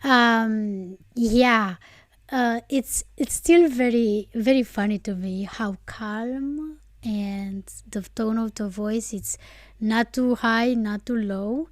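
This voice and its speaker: Slow-talking woman podcaster. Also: fairly high pitch, no echo, studio quality. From Romania. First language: Romanian. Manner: reflective, rambling, self-correcting. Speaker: podcast host. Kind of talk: casual solo podcast reflection.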